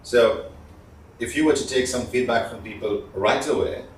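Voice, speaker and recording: Male communication trainer, mid-pitched, explanatory with stressed key words; roomy, echoing sound. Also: moderate pace. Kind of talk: instructional talk on voice modulation. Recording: echoey room, distant mic, faint noise.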